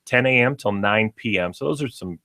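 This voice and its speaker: A man's voice: lowish voice, a little fast, dry recording, clean studio sound. A man appearing as a guest on a local radio show, chatting casually about his pet store in an upbeat way. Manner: upbeat and chatty, with bursts of excitement.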